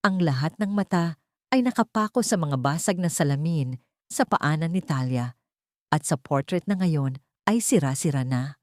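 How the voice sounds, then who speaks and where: A woman's voice: sits low, lively intonation, moderate pace, no room sound, clean studio sound. A woman narrator reading a scripted, dramatic story.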